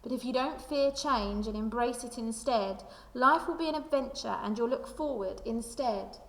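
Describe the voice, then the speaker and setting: A woman reading a children's picture book aloud like a primary school teacher, with expressive, deliberate storytelling delivery. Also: fairly high pitch. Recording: echoey room with long reverb.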